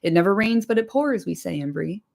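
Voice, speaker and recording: A woman voicing a fat, bustling, bald male innkeeper who talks quickly and without pause. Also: medium pitch, lively intonation, vocal fry, darkish tone. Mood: chatty, harried. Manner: brisk, talkative, hurried.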